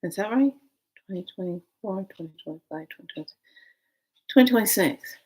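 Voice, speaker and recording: A woman's voice: mid-range voice, normal speed, husky, low-quality recording. Fifty-something lady rambling to her stream viewers, chatty and easygoing. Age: 50s. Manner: chatty, rambling, animated storytelling. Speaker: vlogger. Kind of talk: casual live stream chat.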